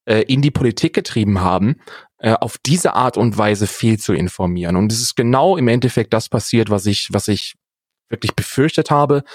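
A man's voice: mid-range voice, a little mellow, no echo, clean studio sound. A young man talking casually and with strong opinions as a podcast co-host, repeating phrases for emphasis.

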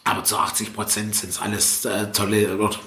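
A man's voice: on the low side, monotone, a little fast, bright, forceful tone. Italian-born man, rambling and roomy-sounding. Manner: rambling, lots of fillers and self-corrections, tag "ne?". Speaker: festival tent jester and stage host. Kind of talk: relaxed interview answers. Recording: roomy echo with faint background noise.

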